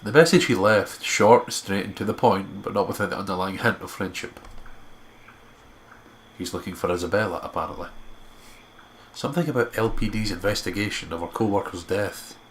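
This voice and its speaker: A man's voice: fairly low pitch, moderate pace, slight background noise. A man with a congested nose narrates a visual novel and adds casual commentary as a co-host. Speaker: let's play co-host reading visual novel aloud.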